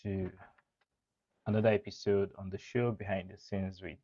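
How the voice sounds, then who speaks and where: A man's voice: deep voice, flat delivery, moderate pace, dark tone. A man hosting a casual interview show, easygoing and laughing along with his guest. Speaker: host. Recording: dull dry lo-fi recording.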